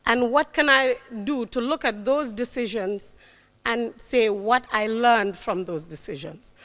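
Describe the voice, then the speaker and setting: A woman in her forties giving a motivational talk to young people, emphatic, often checking in with "right?". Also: a little high. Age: 40s. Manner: warm, emphatic, repeats key words, frequent "right?". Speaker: guest speaker. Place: lecture hall. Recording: long hall reverb.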